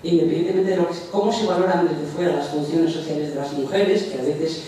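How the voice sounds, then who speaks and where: A woman's voice: deep voice, brisk, dark tone. A woman with a Spanish accent from Spain speaks hesitantly from the floor, offering a comment at an academic panel. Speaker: audience member making a suggestion at an academic panel. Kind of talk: spontaneous public comment from the floor. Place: large echoey indoor conference room. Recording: echoey room, distant mic, faint noise.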